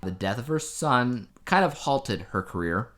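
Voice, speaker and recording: A man's voice: mid-range voice, expressive, unhurried, a bit bright. A man co-hosting a podcast in casual chat, telling things in a conversational way with emphatic asides. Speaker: podcast co-host. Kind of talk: casual chat reviewing a TV show.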